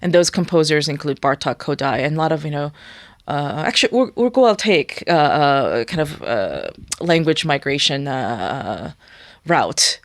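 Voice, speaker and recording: A woman's voice: low-pitched, expressive, moderate pace, dry recording. Reflective woman, first language Korean. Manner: thoughtful, searching for words with many fillers. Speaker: guest musician. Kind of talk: reflective interview talk.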